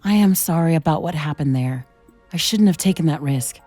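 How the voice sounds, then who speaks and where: Low, mellow woman scientist-commando. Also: expressive, faint music behind. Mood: reflective. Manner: measured, thoughtful, professional. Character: earnest, curious female scientist-commando piloting a mecha suit. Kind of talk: scripted sci-fi game dialogue.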